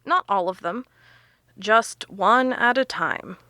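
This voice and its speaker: A confident researcher in an audio drama. Her voice is bright and forceful, recorded close and dry, and she lays out her theories with emphasis. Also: medium pitch, takes it slowly, dry recording. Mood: explaining, intent. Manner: emphatic, explanatory.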